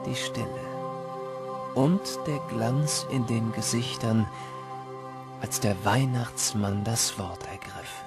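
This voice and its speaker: An actor narrating an audio drama in a measured, reflective way, as a man recalling boyhood. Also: medium pitch, over music. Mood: quiet, wistful.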